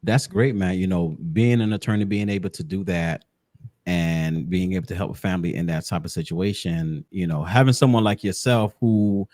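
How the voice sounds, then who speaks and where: A man with a fairly low voice hosts a casual interview. His manner is informal and encouraging, and he likes to double up short phrases. The sound is close and dry, with very little room in it. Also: normal speed, darkish tone, dry recording. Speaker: podcast host.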